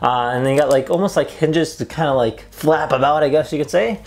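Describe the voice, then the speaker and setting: A man's voice: fairly high pitch, a little fast, darkish tone. A young adult man presenting a casual product unboxing and review in an upbeat, conversational way, indoors in a small room. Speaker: host of an unboxing and review video.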